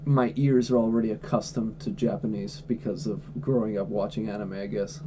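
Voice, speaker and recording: A man's voice: on the low side, fairly even intonation, normal speed, background noise. A man livestreaming from home, answering chat questions in a blunt, offhand way and swearing freely. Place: at home indoors.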